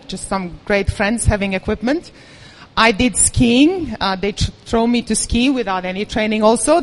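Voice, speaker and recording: Woman, speaker at a live talk, candid and self-mocking, a bit nervous. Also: a little high. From Serbia. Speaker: event speaker. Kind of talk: personal story talk to an audience.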